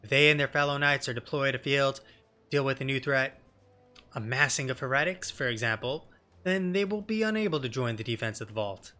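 A man voicing a stern woman knight commander in a fantasy game, speaking formally and with measured authority. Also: medium pitch, sharp tone, soft background music, lightly acted. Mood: grave, persuasive. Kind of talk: game dialogue read in character.

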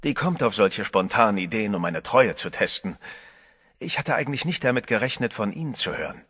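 A man's voice: on the low side, animated, a little fast, a bit bright, slightly rough. An actor playing a composed adventurer who narrates his own story in a horror radio play. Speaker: first-person narrator. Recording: music bed under the voice.

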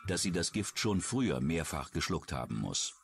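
A man narrating a true-crime documentary in a measured, suspenseful way from a script. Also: low voice, sing-song, gravelly. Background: background music.

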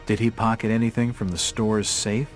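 A man's voice: lowish voice, little pitch movement, moderate pace, faint music behind, close to an everyday voice. An actor playing a troubled, earnest male investigator, an outsider in town, in a video game. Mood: questioning. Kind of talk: video game cutscene acting.

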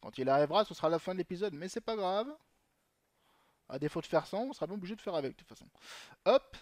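A man's voice: sits high, expressive, quick pace, darkish tone, dry recording, clean studio sound. A young man commenting on his gameplay in a chatty way, swearing often and talking to himself. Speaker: gaming channel host.

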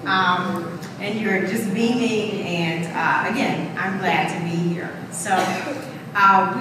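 A woman's voice: mid-range voice, noisy background. A middle-aged woman giving an encouraging welcome speech into a handheld mic at a podium, with fillers. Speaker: keynote speaker. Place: large indoor event hall. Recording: echoey hall from far off, music behind.

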